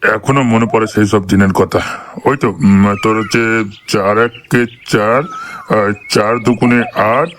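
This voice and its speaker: A man's voice: lowish voice, unhurried, a bit bright, a little hiss or hum. A man voicing a bossy, scheming, foul-mouthed village gang leader in a comic cartoon, lecturing and scolding. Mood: puzzled, boastful. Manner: hectoring, explanatory, swearing.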